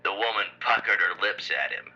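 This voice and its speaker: A man playing a grim, hard-boiled pulp storyteller in an audio drama, raspy and deliberate, heard on a lo-fi tape. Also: on the low side, lively intonation, pushed and bright, heavily acted. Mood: grim, lurid. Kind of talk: noir scripted narration in an audio drama. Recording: dull lo-fi cassette tape playback.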